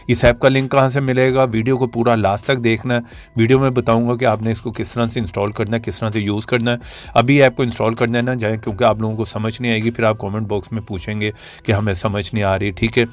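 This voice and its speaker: A man hosting a tech tips video, walking listeners through steps in a casual, instructional way and often checking that they follow. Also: medium pitch. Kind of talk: app tutorial narration in casual spoken manner.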